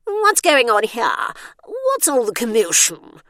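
A woman's voice: fairly high pitch, wildly swooping pitch, slow, a bit bright, no echo, clean studio sound, pitched above the performer's own voice, heavily acted. A woman voicing a haughty, officious male care-home warden who orders everyone about. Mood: bossy.

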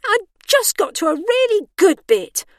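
A woman's voice: sits high, big pitch swings, measured pace, fairly bright tone, dry recording, clean studio sound, pitched above the performer's own voice, exaggerated voice. A woman voicing a vain, entitled singing starlet in an audiobook, dazed and petulant, with an accusing, aggrieved manner. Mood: irritable. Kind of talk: audiobook character voice.

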